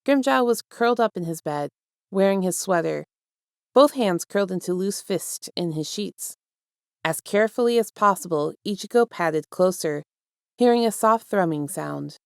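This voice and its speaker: A woman narrates a story in a low, resonant voice edged with noticeable fry. Her phrasing is deliberate and expressive, like an audiobook reader. The sound is dry and close, with no room around it. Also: no room sound. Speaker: fan fiction reader.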